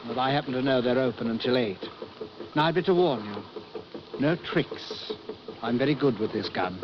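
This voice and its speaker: Man as a suave, quietly threatening spy in an old radio drama, muffled recording with faint hiss. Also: mid-range voice, moderate pace, natural voice. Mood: menacing. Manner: suave, coolly polite and menacing. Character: smooth armed enemy agent posing as intelligence officer. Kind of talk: 1940s radio acting.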